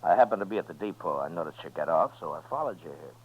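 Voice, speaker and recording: Calm, laconic US marshal, very low voice, American, vintage radio western, dull old recording. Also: male voice, slightly rough. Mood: dryly cool. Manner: laconic, measured, understated. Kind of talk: 1950s radio western acting and narration.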